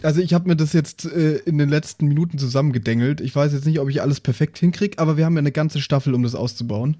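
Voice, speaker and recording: A man's voice: a little high, fast, mellow. A man chatting casually as a player in a tabletop game. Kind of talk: casual chat.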